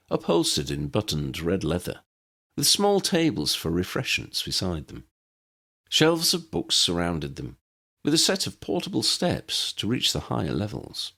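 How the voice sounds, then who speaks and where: A man reads fiction aloud as a narrator. His voice is deep and husky, and his pitch swings widely as he tells the tale. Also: a bit bright. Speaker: audiobook narrator.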